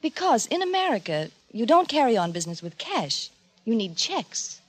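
An actress playing a kindly, patient schoolteacher in a 1940s radio comedy, proper and gently instructive. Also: female voice, a little high, big pitch swings, normal speed.

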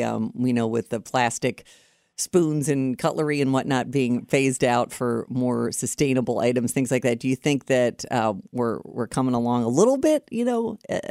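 A woman's voice: a bass voice, moderate pace, mellow, no room sound. Woman hosting a radio interview, dry sound. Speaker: radio show host. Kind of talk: broadcast interview, conversational. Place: radio broadcast.

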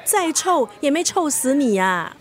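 High-voiced, sharp-tongued Singaporean woman, scornful. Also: very animated, a little slow. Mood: scornful, scolding. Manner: sarcastic, scolding, blunt. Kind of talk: Mandarin radio drama acting.

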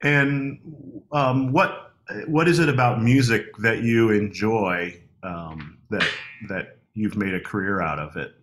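A man hosting a podcast interview, asking questions in a relaxed, hesitant way with many fillers and restarts. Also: fairly low pitch, slow, a little hiss or hum. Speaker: podcast host. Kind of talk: conversational interview.

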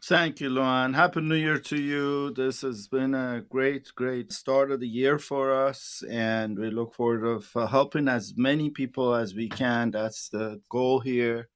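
A man's voice: mid-range voice, steady tone, measured pace, hardly any pauses, a bit bright, clear, resonant tone, no room sound. A man in his sixties, a financial advisor hosting a radio show, chatting casually with plenty of fillers and repeated words. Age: 60s.

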